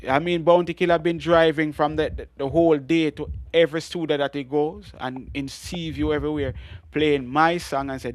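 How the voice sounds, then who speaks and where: A middle-aged Jamaican man with a deep voice, telling animated stories as a guest in an interview. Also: dry recording. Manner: animated storytelling with frequent laughs and restarts. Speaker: guest reggae artist. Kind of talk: storytelling interview.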